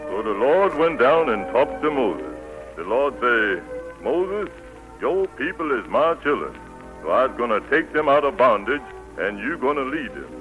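An actor playing a folksy Southern preacher telling a Bible tale in 1940s radio style. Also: male voice, mid-range voice, close to an everyday voice, low-quality recording. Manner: folksy, animated storytelling. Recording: 1940s radio broadcast with music.

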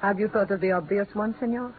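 A woman's voice: mid-range voice, fast, natural voice. An actress playing an earnest, defensive South woman in a 1950s radio drama. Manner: formal, earnest. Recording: noisy, muffled 1950s radio recording.

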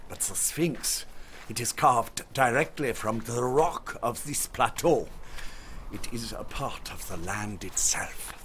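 An actor playing a courteous, learned German archaeologist with a German accent, speaking like a formal storyteller in a radio drama. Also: male voice, mid-range voice, slow, fairly bright tone, a little hiss or hum. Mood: reverent, explanatory.

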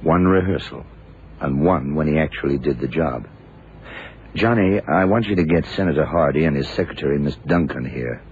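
Very deep-voiced, methodical radio detective. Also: male voice, moderate pace, a little mellow. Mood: confident deduction. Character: shrewd, methodical male detective, calm and sure of himself. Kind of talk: 1970s radio drama acting. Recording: dull, noisy old radio recording.

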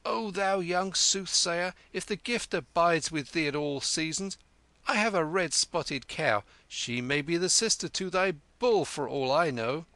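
A man's voice: a little high, measured pace, bright, forceful tone, dry recording, voice pushed up. A man reading aloud, voicing an eager, grasping village farmer.

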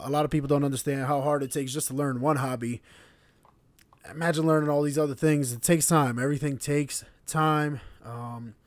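A man around thirty hosting his own podcast in a casual, hyped, slangy monologue with sudden high shouts. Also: no echo. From grew up in the United States. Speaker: podcast host.

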